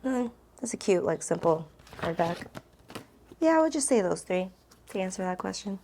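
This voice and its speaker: A woman's voice: medium pitch, very animated, normal speed, mellow, slight background noise. A woman book vlogger chatting casually and rambling to camera, with an informal, gushing and emphatic way of talking.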